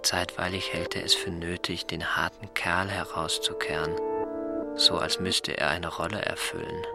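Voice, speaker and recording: A lonely note-taker, really low voice, measured and matter-of-fact, loud music under it. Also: male voice, level tone, gravelly. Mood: detached, observing. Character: observant, solitary man reading out his private notes. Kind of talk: scripted radio drama monologue.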